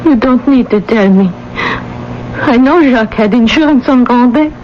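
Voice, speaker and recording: A woman's voice: on the high side, moderate pace, lightly acted. An actress playing a distraught, genteel, trusting lady in a radio drama, with a fragile, hesitant and melodramatic delivery. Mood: horrified. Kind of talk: 1980s radio drama acting. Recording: dull, noisy old radio broadcast.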